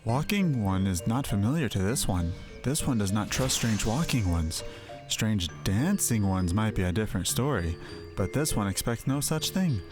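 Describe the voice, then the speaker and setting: A man's voice: fairly low pitch, expressive, moderate pace, over music, lightly acted. A man voicing a wary little forest sylph from a fantasy game, with stilted, formal speech. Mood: wary, dismissive. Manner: stilted, clipped, formal phrasing.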